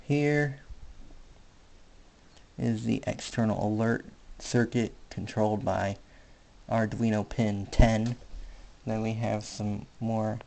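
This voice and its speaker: Slow-talking man with a gravelly, creaky voice. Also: lowish voice, dark tone. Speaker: hobbyist presenter. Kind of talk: casual hands-on electronics demonstration. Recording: faint background noise with clicks.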